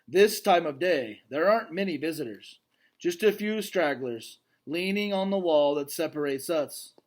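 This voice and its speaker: A man reading a children's story aloud expressively, with dramatic pauses and a conversational storytelling style. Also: a little high, animated. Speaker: read-aloud narrator. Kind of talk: storytelling read aloud with casual asides to young listeners.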